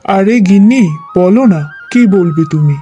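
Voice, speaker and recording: A kind young husband and son , voiced by an actor, speaks in a soft, mellow tone. The delivery is earnest and soothing, made for an emotional cartoon story with light music underneath. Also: medium pitch, animated, unhurried, dull audio, close to an everyday voice. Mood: reassuring.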